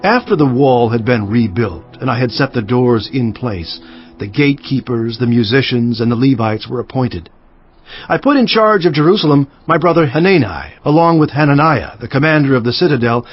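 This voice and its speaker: A man playing an earnest, resolute ancient governor recounting his memoir in a measured, emphatic dramatized scripture narration. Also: medium pitch, expressive, breathy edge, dry recording. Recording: dull sound with faint music underneath.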